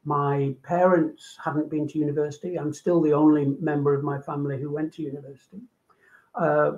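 An older man with a Manchester accent speaks on a video call from his home, stopping often to restart his words. His voice sits a touch high for a man. The sound is dull and lo-fi. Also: darkish tone. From England. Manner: halting, with many ums and repeats. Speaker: guest doctor. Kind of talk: casual video call interview. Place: home room.

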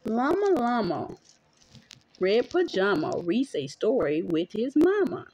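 High, lively woman reading a bedtime story. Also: takes it slowly, slight background noise, low-quality recording. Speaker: mother reading aloud to her young child. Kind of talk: bedtime picture-book reading with chat to a child. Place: small dim bedroom at home.

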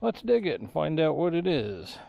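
A man's voice: on the high side, sing-song, normal speed, creaky, mellow. A man giving casual running commentary to himself in short remarks while metal detecting outdoors, recorded on a body-worn camera. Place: outdoors on grassy farm field. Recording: outdoor action camera with background noise.

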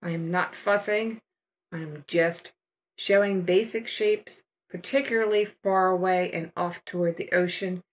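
Relaxed older woman explaining a painting. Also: lowish voice. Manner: relaxed, unhurried step-by-step explaining. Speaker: watercolor art teacher. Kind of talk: calm voice-over narration of a painting demo.

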